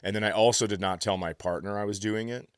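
Low-voiced American man, close dry sound. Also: steady tone, fast talker, smooth, dry recording. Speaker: podcast host, comedian. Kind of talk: casual comedic chat and storytelling.

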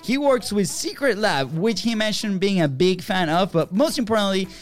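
A man's voice: sits high, lively intonation, normal speed. A man co-hosting a podcast, upbeat and laughing often, with many fillers as he talks. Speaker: podcast co-host.

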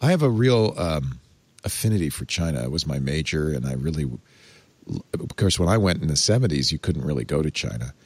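A man in his sixties hosting a casual tech talk show, chatty and quick to laugh. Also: extremely low pitch, lively intonation, husky, no echo. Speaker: podcast host. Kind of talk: casual chat.

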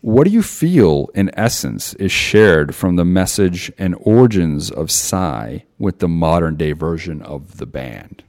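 A man hosting an interview, asking measured, prepared questions. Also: low-pitched, very animated, darkish tone. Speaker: interviewer host.

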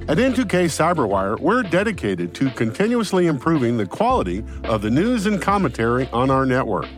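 A man reading a friendly network promo in an upbeat way. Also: fairly high pitch. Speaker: network announcer. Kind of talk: scripted promo read.

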